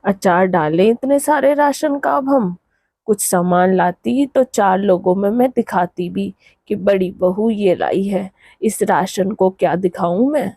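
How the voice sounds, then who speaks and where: A woman's voice: mid-range voice, measured pace, warm tone, no room sound, studio quality. A woman voicing a scolding, reproachful older mother-in-law in a cartoon moral story. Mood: scornful.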